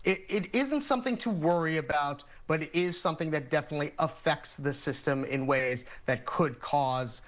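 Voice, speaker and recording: An American man speaking as an expert guest in a TV news interview, explaining things in a measured, methodical way. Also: a little high, a bit bright.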